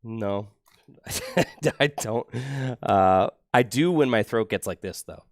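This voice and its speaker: A laid-back man in a low register talks through a card game as host, plain and matter-of-fact. His voice is recorded close and completely dry. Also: animated, slow pace, no room sound. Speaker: streamer host. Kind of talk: casual chat while playing a card game.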